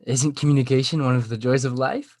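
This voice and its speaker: A man's voice: medium pitch, steady tone, darkish tone, no echo, close to an everyday voice. Man reading as needy, stammering lovesick teenage boy. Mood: earnest, hopeful. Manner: halting, stammering, pleading. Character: earnest, needy, lovesick sixteen-year-old boy. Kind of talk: table read of a comedy script.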